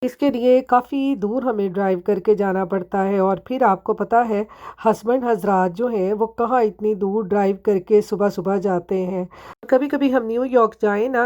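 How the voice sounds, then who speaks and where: A woman's voice: mid-range voice, a little mellow, highs cut off. A woman from Pakistan, a home vlogger narrating her day chattily to viewers while her throat is sore. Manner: talks to viewers, asks them questions. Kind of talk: chatty voice-over narration of a daily routine.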